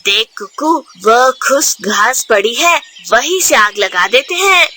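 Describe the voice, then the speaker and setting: Woman as a sharp-voiced, scheming boy bird. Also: fairly high pitch, expressive, slow pace, exaggerated voice. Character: defiant, scheming young male bird troublemaker.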